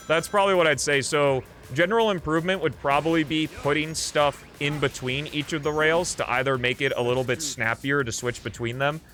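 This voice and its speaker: A man's voice: medium pitch, fairly bright tone. A man doing casual live video game commentary, thinking aloud in a rambling, offhand way as he plays. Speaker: video game streamer and host. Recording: game music behind the voice. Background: video game music.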